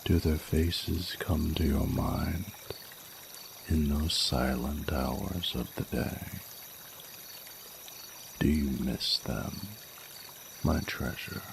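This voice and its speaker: An ancient, regal dragon king, played by a man, speaks in an exceptionally low rumble thick with gravelly fry. He is slow, formal and intimate, a tender, flirtatious lover in an audio romance, with clearly audible watery noise behind him. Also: fairly even intonation, heavily acted. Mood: tender, wistful. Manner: formal, intimate, archaic courtly phrasing. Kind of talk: scripted romantic audio roleplay. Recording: noticeable noise, running water sounds.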